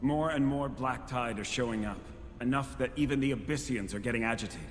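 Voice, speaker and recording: An actor playing a wary, watchful soldier in a fantasy video game, with a concerned manner. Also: male voice, medium pitch, a little fast, slight background noise, natural voice. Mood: uneasy.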